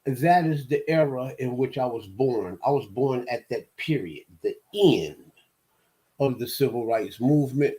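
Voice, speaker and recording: A man in his fifties from Detroit, hosting his own show and telling his life story in a slow, halting monologue full of restarts and repeated words. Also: medium pitch, animated, warm tone. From Detroit, USA. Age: 50s. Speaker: show host. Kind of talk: personal monologue.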